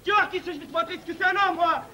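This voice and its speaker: Whiny, blustering young suitor, comic drama, pied-noir accent, quick delivery, faint noise behind. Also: male voice, high voice, animated. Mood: furious, wailing. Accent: French Algerian pied-noir. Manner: agitated, colloquial, blustering. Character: hot-headed, whining young suitor, blustering and aggrieved.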